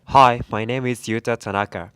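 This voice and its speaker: Student from Japan, dry narration. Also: male voice, mid-range voice, fairly even intonation, normal speed, fairly bright tone, clean tone, dry recording. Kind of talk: scripted narration.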